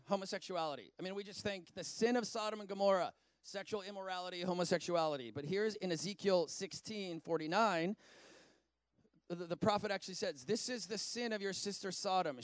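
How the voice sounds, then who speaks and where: A man in his forties preaching a sermon, reading scripture and breaking into animated, conversational asides. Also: high voice, smooth, no echo. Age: 40s. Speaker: pastor.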